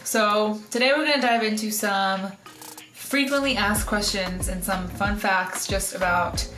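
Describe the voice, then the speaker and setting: A young woman from Colombia, a co-host and photographer, chatting in an upbeat, lively way. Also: mid-range voice, a little slow, echoey room, over music. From Bogota, Colombia. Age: young adult. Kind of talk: casual couple chat.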